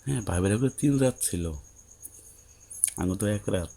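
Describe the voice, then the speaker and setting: A man's voice: fairly low pitch, expressive, a little slow, gravelly, warm tone, slight background noise. A man playing a patient, coaxing young newlywed husband in an audio story, with a Bangladeshi accent and a soothing, colloquial way of explaining. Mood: patiently explaining.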